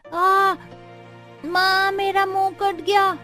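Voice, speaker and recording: A woman's voice: very high-pitched, level tone, drawn-out words, pushed and bright, soft background music, exaggerated voice. A woman voicing a small village child in a cartoon story, cute and childlike. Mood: hurt, whining. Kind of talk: Hindi cartoon story dialogue.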